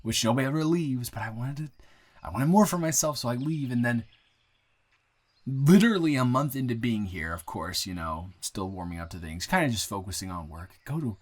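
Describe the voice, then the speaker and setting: A man playing a friendly young newcomer to town, chatting casually and haltingly with a close friend in an improvised roleplay audio. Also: medium pitch, animated, close to an everyday voice. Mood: earnest, reflective. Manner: rambling, halting, self-correcting, conversational. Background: bird and outdoor ambience sound effects.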